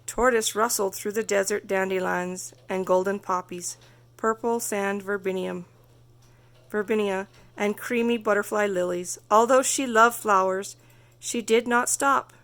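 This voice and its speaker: A woman reading a children's story aloud as narrator, expressive and carefully phrased. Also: mid-range voice, a little slow. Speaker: storybook reader.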